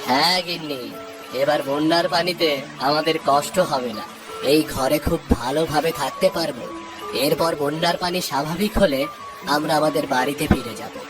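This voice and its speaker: A man voicing a troubled, caring village husband and father in a cartoon story, earnest and expressive. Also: a little high, normal speed, lightly acted, low-quality recording. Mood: reassuring. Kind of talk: dramatic cartoon story dub. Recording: loud music under the voice.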